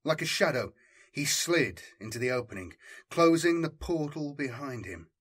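A man narrating a scripted story as an audiobook, in a measured, solemn and dramatic way. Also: on the high side, lively intonation, fairly bright tone, slightly rough.

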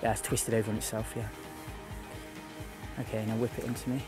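A man's voice: on the low side, little pitch movement, fairly quick. Man, outdoor fishing coach, repeats instructions urgently, clip-on mic, music underneath. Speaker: fishing guide. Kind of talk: on-the-spot coaching. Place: outdoors by a lake.

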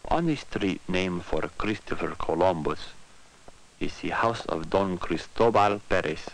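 A man narrating an educational film in simple scripted sentences, telling it like a story in measured, deliberate phrases. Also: deep voice, animated, gravelly, low-quality recording. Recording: 1960s educational film soundtrack with music. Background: guitar music.

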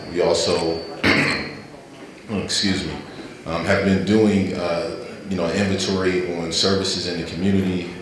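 A man in his thirties with a very deep voice introduces himself into a handheld mic, hesitating often with fillers and throat clearing. His voice booms around a large, strongly echoing hall while a crowd murmurs behind him. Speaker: community relations coordinator. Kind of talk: public introduction at a community meeting. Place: large indoor event hall.

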